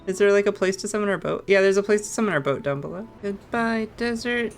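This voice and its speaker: A woman's voice: medium pitch, fast talker, slight background noise. A woman with a playful manner, casually chatting and reading aloud as she plays a video game. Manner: giggly, playful. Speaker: game streamer.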